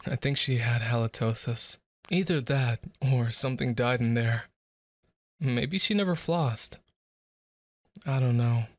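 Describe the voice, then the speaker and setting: A man's voice: medium pitch, no room sound, studio quality. A man performing a boyfriend ASMR roleplay, speaking to an unseen listener in a moody, dramatic way.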